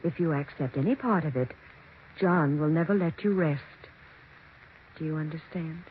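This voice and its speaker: A woman's voice: deep voice, lightly acted. A woman playing a domineering, scheming mother who claims to speak with the dead, in stern American 1940s radio suspense acting. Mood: ominous, insistent. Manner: stern, commanding, deliberate diction. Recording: dull, noisy 1940s radio recording.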